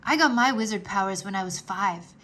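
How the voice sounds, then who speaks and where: A woman voicing an earnest, wistful, determined young boy wizard in a children's storybook, speaking in a hurried, pleading way. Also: mid-range voice, bright, forceful tone.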